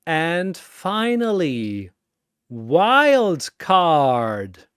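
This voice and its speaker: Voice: big pitch swings, drawn-out words, clear, resonant tone, no echo, studio quality. A narrator and arena announcer delivering dramatic scripted battle storytelling in a theatrical manner. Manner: theatrical, booming announcements alternating with hushed storytelling.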